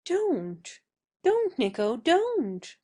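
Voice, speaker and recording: A woman voices a young stage beauty in a period audio drama, pleading in distress. Her voice is high and soft in tone. She draws her appeals out very slowly. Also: huge pitch swings, heavily acted.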